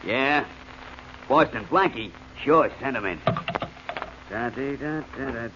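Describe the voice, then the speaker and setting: An actor playing a brisk, jokey music publisher in an old radio drama. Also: male voice, medium pitch, pushed and bright. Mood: harried. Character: harried joking music publisher, adult man. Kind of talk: 1940s radio acting. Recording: lo-fi old radio recording with hiss.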